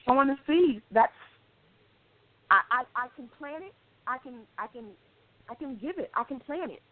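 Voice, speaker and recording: A woman's voice: high voice, animated, normal speed, dry recording. A woman life coach speaking as a radio guest, earnest and hesitant, with many ums. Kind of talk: casual interview chat. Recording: dry, tinny phone-line sound.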